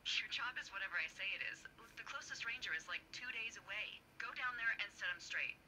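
A brash, sardonic female lookout boss in a story game. She teases bluntly over a walkie-talkie with a very bright, forward voice at a medium pitch. Faint noise sits in the recording. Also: expressive, quick pace, low-quality recording. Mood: bossy. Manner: sardonic, blunt, foul-mouthed. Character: brash, teasing adult woman, fire lookout supervisor. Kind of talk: naturalistic video game dialogue. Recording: game audio filmed off screen, faint noise.

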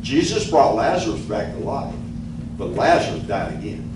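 An older male pastor gives an earnest, unhurried Bible teaching at a stand microphone. The room is very echoey, and he sounds a little distant from the mic. Steady background noise hums under his voice. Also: medium pitch. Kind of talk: sermon teaching. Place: church meeting room with band gear. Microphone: stand mic, filmed from a distance.

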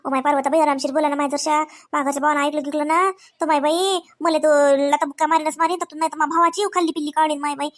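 Woman doing a really high worried village lady who owes money, cartoon, plaintive begging, vidarbha accent. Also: fairly even intonation, fast, few pauses, lightly acted. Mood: pleading, worried. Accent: Vidarbha Marathi. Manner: wheedling, repetitive, plaintive. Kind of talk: comedy cartoon dialogue in rural dialect.

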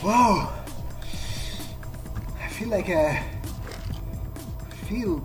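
A man voicing a cocky, gloating vampire as he plays a game, thinking aloud in halting fragments and swearing. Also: high voice, lively intonation, music in the background, full character voice. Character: cocky, gloating male vampire, mercilessly thrilled by power. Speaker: video game player. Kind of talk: in-character roleplay commentary over a game.

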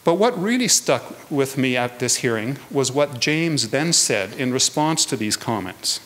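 Measured man in his sixties. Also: medium pitch, animated, a bit bright, a little hiss or hum. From Canada. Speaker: guest speaker, hearing adjudicator. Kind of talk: prepared public talk. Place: indoors at a lectern in a hall.

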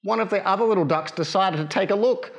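A man in his thirties reading a picture book to children in a playful, expressive way. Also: high-pitched, fast. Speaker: library storytime reader. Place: small children's library corner, indoors.

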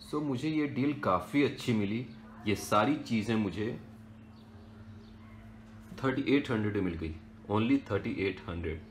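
A man's voice: fairly low pitch, unhurried, pauses often, slight background noise. A young man chatting casually to viewers as a vlogger. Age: young adult. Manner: chatty, addresses viewers as friends. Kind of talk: casual travel and shopping vlog. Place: street, shop and home.

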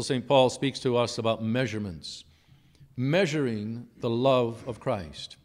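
A priest reading the gospel and delivering a measured, solemn sermon in a church, with rhetorical questions. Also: male voice, medium pitch. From United States.

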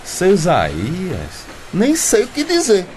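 Radio soap role: timid Brazilian labourer, hesitant, very animated pitch, some background noise. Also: male voice, slow pace, exaggerated voice. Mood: meek, hesitant. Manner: hesitant, stammering. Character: nervous, submissive uneducated bricklayer, timid and hesitant. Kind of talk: radio soap opera acting.

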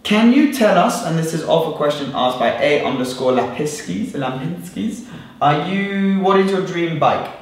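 A young man hosting a casual vlog, chatty and upbeat in a bright living room. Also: fairly high pitch, expressive, normal speed. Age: young adult. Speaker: vlogger host. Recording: echoey room.

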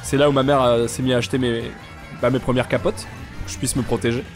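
A young man with a stuffy nose, chatting casually and joking deadpan with viewers while he streams a game. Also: mid-range voice, fast talker, music in the background. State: congested, sick with sinusitis. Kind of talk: casual live-stream chat while gaming.

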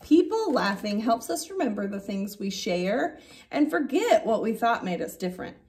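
A woman in her thirties reading a picture book aloud like a teacher, with expressive, drawn-out emphasis on key words. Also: a little high. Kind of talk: animated children's story read-aloud. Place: indoor classroom.

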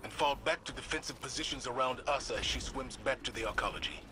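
An actor playing a solemn, steady military commander in a video game, measured and authoritative. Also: male voice, mid-range voice, noisy background, lightly acted. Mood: commanding. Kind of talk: video game drama acting.

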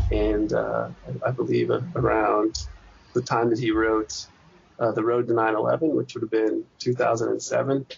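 A man speaks as an academic guest in a gravelly, husky voice. He works through his points slowly, pausing and restarting often. The recording sounds muffled and lo-fi. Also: mid-range voice. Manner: thoughtful, hesitant, many restarts and fillers. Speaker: interview guest, PhD candidate. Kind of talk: academic interview answers. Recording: dull lo-fi podcast audio.

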